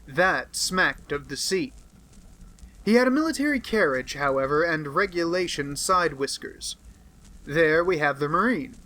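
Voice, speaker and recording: A man's voice: on the high side, sharp tone, low-quality recording. A man voicing an aloof, brilliant male detective in an audiobook, cool and clipped in his speech.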